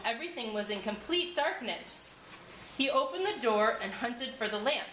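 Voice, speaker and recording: Woman storyteller, scripted folktale narration, lively intonation, echoey noisy room. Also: on the high side. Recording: echoey room with clear background noise.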